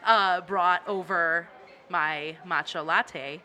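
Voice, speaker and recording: A woman's voice: lowish voice, steady tone, slow, pushed and bright. A woman co-hosting a podcast in casual chat in a coffee shop, often giggling through her sentences. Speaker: podcast co-host.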